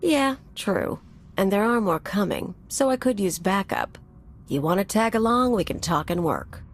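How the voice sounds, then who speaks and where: A woman's voice: medium pitch, lively intonation, normal speed, slight background noise, close to an everyday voice. An actress playing a brash, sarcastic female anarchist bomber in a video game, with a blunt, irreverent manner. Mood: brusque, sardonic.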